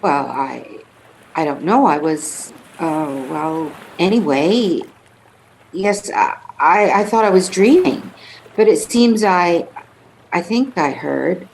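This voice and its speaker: A woman playing a timid, flustered passenger in a radio play, speaking haltingly. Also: fairly low pitch, lively intonation, gravelly, darkish tone, a little hiss or hum, full character voice, rough recording. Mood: flustered, hesitant. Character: timid, hesitant female boat passenger.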